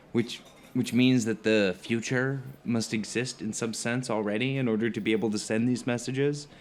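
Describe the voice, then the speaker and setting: A man's voice: medium pitch, natural voice. Man acting a flustered, stammering skeptical dinner companion. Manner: halting, stammering, argumentative, emphatic. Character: earnest, skeptical, rationalist male dinner companion, wordy and flustered. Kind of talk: conversational audio drama acting.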